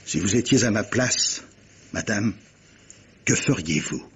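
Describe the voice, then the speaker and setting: An actor playing a grave gentleman captain in a radio mystery, speaking in a measured, insistent, formal way, in a 1950s French style. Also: lowish voice, animated, breathy edge, heavily acted. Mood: sober, probing. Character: grave gentleman captain pressing a guilty woman with hypotheticals. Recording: old radio drama recording.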